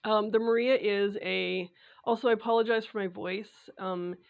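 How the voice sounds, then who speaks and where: A raspy, stuffed-up woman talks to camera in an easy, chatty way, pausing often on filler sounds. Her voice sits at an ordinary middle pitch for a woman, a little rough from a lingering cold. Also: steady tone. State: congested, recovering from a cold. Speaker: sewing vlogger. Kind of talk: casual talk to camera.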